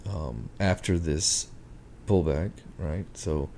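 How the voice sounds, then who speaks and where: A man walking through market charts on a screen share, thinking aloud with many ums and a hoarse voice. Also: rumbling low, slow, warm tone, slight background noise. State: hoarse, clearing his throat. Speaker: market analyst.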